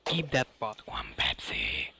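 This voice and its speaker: A man's voice: on the low side, wildly swooping pitch, measured pace, creaky, noisy background. A man giving casual live speedrun commentary in non-native English phrasing, with playful asides. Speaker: speedrunner commentating own run. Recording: loud game music behind. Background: loud video game music.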